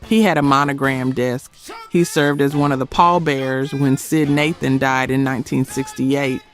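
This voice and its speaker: A man's voice: mid-range voice, little pitch movement, normal speed, soft background music. A man giving scripted documentary narration in short, emphatic, dramatic phrases. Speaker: narrator of a music history video.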